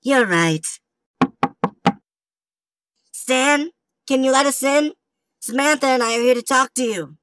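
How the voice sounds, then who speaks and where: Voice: high voice, takes it slowly, pauses often, fairly bright tone, no echo. A performer voicing a worried, loyal cartoon schoolboy in an amateur comic dub, earnest and anxious. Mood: encouraging.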